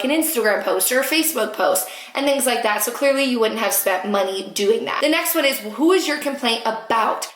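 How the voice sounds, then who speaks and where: Host: young woman, nasal and a bit hoarse from losing her voice, fairly high, chatty step-by-step explainer, echoey room. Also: fairly bright tone. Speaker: anti-MLM video host. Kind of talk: casual screen-walkthrough tutorial.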